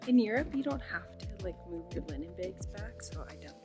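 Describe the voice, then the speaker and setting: A woman's voice: on the low side, lively intonation, fairly quick, over music. A woman in her thirties talking to a camera held at arm's length, chatting casually and rambling upbeat as a travel vlogger. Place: hostel grounds, car and home bedroom.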